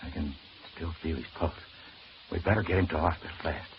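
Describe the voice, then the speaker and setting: An actor playing a folksy, persuasive real estate agent in 1940s radio drama style, talking in colloquial patter. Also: male voice, low voice, brisk. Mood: alarmed, hushed. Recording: muffled, noisy old radio recording.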